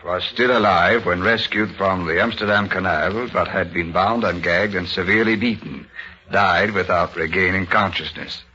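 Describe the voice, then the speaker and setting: A man playing a grave, methodical small-town police chief in an old-time radio drama, terse and measured. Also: low voice, fairly even intonation, sharp tone. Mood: grave, probing. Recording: dull old radio recording.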